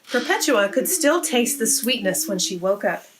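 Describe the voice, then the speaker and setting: A woman's voice: mid-range voice, very animated, moderate pace, slight background noise, rough recording. A woman hosting a read-aloud, narrating a story for children.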